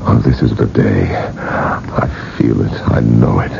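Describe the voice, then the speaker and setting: An actor in a radio drama playing a dying old industrialist, weak and desperate, with faltering speech. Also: male voice, rumbling low, steady tone, slow, gravelly, full character voice. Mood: weary, resigned. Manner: weak, faltering, effortful delivery. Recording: noisy, dull old radio broadcast.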